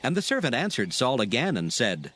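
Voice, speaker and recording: Expressive male scripture narrator. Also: mid-range voice. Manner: measured, formal. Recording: faint music behind the voice.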